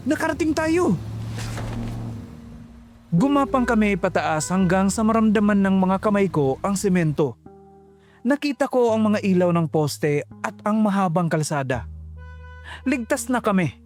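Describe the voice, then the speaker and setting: A man narrates a horror tale in first person as the channel's host. His voice sits high, and his delivery is tense and dramatic. Faint music plays underneath. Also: expressive, normal speed.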